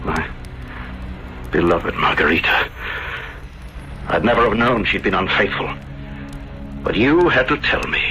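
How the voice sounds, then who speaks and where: Casting: gloating, vengeful killer for vintage radio play; very low, wildly swooping delivery. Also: male voice, lively intonation, a little slow, pauses often, sharp tone. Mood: bitter menace. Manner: menacing, taunting, theatrical. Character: vengeful, gloating murderer, a cruel jilted husband. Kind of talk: 1970s radio drama acting. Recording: dull vintage radio recording, music behind.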